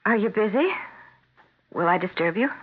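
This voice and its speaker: A worried wife in an old radio play, breathy and faltering, thin phone-like sound. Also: female voice, medium pitch, lively intonation, moderate pace. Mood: tentative. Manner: hesitant, faltering. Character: anxious, placating wife, growing frightened of her husband. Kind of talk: 1970s radio drama acting. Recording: muffled, narrow-band 1970s radio recording.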